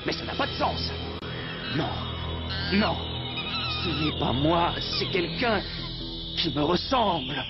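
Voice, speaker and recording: An earnest rebel leader in a cartoon. His voice is slightly high and very expressive, dramatic and decisive. It sounds a bit dull, over music. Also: takes it slowly, long pauses, heavily acted. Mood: bewildered. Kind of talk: French cartoon dub acting.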